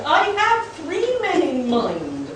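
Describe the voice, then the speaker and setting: A woman's voice: sits high, wildly swooping pitch, slow, exaggerated voice. A woman in an amateur radio play playing a stern, pompous old male professor and father with old-fashioned, chummy phrasing. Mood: genial, pompous. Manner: pompous, old-fashioned British upper-class turns of phrase. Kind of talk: amateur live comic radio play acting. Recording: very echoey hall, distant, faint noise.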